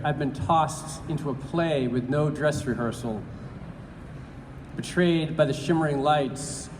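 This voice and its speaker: A man reads poems aloud at a slow, measured pace. His speech is hesitant and full of fillers between the pieces. His voice carries through a reverberant hall with steady background noise. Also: on the high side. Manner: halting with many fillers, then slow deliberate reading. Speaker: poetry reader. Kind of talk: live public poetry reading. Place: large event hall.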